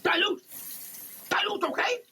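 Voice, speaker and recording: A man giving a comedic dramatic reading of a product label, theatrical and over-emphatic, with halting delivery. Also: extremely high pitch, bright, forceful tone, slight background noise. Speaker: comedic performer.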